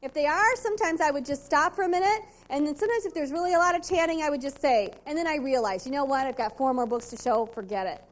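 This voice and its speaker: Upbeat high-voiced saleswoman. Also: fast, hardly any pauses. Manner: upbeat, precise, animated storytelling. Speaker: sales trainer at a convention. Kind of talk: motivational sales training talk.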